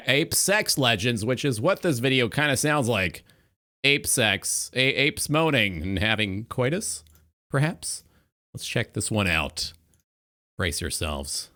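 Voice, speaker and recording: A man's voice: mid-range voice, bright, forceful tone. A man hosting a casual talk show, giving rambling, crudely joking reaction commentary. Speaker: talk show host and streamer.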